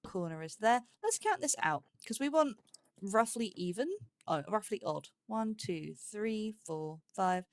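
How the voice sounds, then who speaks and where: A woman's voice: medium pitch, expressive, unhurried, no room sound. A woman giving casual gameplay commentary as host, thinking aloud in short, broken phrases and playfully talking to herself. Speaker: let's play host.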